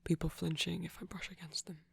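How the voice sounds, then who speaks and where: A man voicing a gentle, caring vampire boyfriend in a husky, breathy whisper for an ASMR roleplay, speaking tenderly and soothingly. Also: fairly high pitch, little pitch movement, brisk, full character voice. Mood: shy, self-deprecating. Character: gentle, caring male vampire boyfriend, softly self-conscious.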